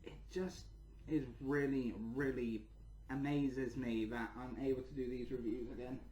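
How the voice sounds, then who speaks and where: A man's voice: medium pitch, fairly even intonation, measured pace, dark tone, slight background noise. A man hosting a casual channel update, rambling and repeating his words and phrases as he talks to viewers. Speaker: channel host and toy reviewer. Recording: echoey room.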